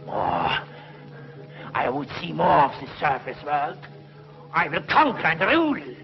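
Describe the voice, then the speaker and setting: An actor playing a grandiose, commanding subterranean tyrant in a melodramatic 1950s television serial. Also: male voice, a little high, huge pitch swings, unhurried, vocal fry, heavily acted. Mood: greedy. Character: power-mad subterranean despot craving to conquer the surface. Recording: muffled 1950s TV soundtrack, loud music.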